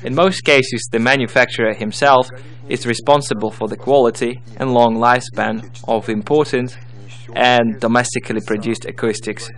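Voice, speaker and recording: A man's voice: mid-range voice, fairly even intonation, normal speed. A man giving scripted, step-by-step instructional narration as the narrator of a tutorial.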